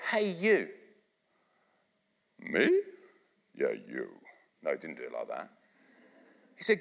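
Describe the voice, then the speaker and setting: Fired-up man preaching in a reverberant hall. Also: high-pitched. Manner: animated, conversational, asks the congregation to repeat lines. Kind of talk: sermon. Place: church hall, live congregation.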